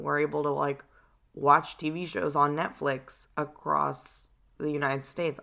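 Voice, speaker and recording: Deep voiced young woman podcaster, kinda gravelly, rambly with lots of likes and ums. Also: a bit bright. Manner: casual, rambling, many fillers and restarts. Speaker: podcast host. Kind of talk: personal reflective podcast monologue.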